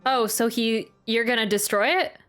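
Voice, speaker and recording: A woman's voice: on the high side, steady tone, moderate pace, fairly bright tone, clean tone. A woman streaming a game, chatting casually as she reacts to it. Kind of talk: casual livestream chat. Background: game music.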